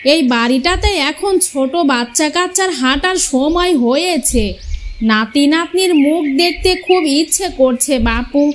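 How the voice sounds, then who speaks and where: A woman's voice: sits high, lively intonation, a little hiss or hum. A woman voicing a spiteful, commanding older mother-in-law in a cartoon fairy tale. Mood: nagging. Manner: scolding, commanding, drawn-out delivery.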